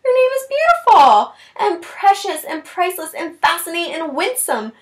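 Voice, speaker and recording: A woman's voice: very high-pitched, big pitch swings, normal speed, roomy sound, voice pushed up. A woman voicing a doting father mouse in a storybook, gushing and emphatic.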